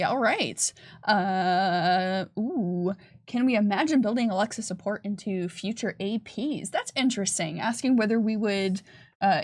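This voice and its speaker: A woman with a medium-pitched voice talks as a panelist on a casual livestream. She fields questions in an informal way and is happy to poke a little fun at herself. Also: a little mellow. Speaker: panelist and game developer on a company livestream.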